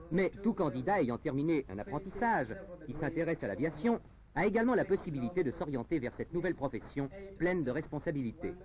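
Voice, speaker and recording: A man reading scripted narration for a 1970s informational film in a formal, emphatic, carefully articulated way. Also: on the high side. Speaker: documentary narrator. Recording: dull, noisy 1970s film soundtrack.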